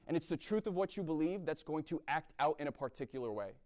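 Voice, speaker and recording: Blunt young man preaching, fairly high voice. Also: expressive, fast, a little mellow. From East Coast of the US. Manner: blunt, repeats "right?" often. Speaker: speaker at a university chapel event. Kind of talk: sermon-style talk.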